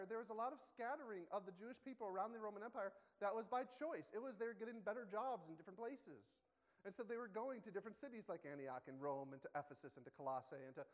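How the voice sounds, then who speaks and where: Conversational pastor in a reverberant hall. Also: male voice, very high voice. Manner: conversational, self-correcting, asks the congregation questions. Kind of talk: sermon. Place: church sanctuary.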